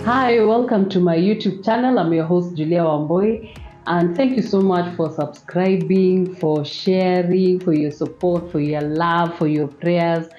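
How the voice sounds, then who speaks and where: A woman hosting a faith-based interview, constantly murmuring soft affirmations as her guest talks. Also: fairly low pitch, unhurried, soft background music. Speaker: host. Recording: echoey room, dull lo-fi sound.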